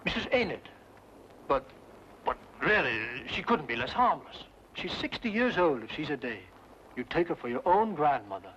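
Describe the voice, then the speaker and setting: A fussy, indignant British householder in an old radio play. His fairly high voice swoops up and down as he sputters and exclaims. The old recording is muffled. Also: big pitch swings, moderate pace, a bit bright, slight background noise. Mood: flustered. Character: fussy, flustered, indignant English gentleman householder. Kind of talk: old-time radio drama acting.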